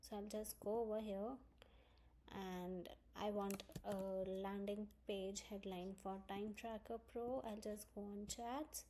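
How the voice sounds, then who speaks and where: A woman narrates a screen-recorded software tutorial in a soft, mellow tone. Her pitch sits in an average range for a woman, and she explains things step by step as an instructor would. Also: fairly even intonation, takes it slowly, a little hiss or hum.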